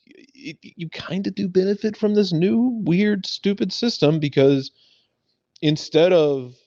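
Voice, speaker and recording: A man's voice: high-pitched, slow, warm tone, no echo. A man in casual sports chat as a panelist, blunt and repeating his phrases as he argues a point. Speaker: sports talk show panelist. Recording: dull, band-limited sound.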